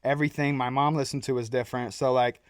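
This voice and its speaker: A young adult man, a guest rapper, talking in a relaxed, rambling way with frequent "like"s and easy laughter. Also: mid-range voice, fast, dry recording, clean studio sound. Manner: laid-back, laughs often, trails off with "like". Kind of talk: casual chat.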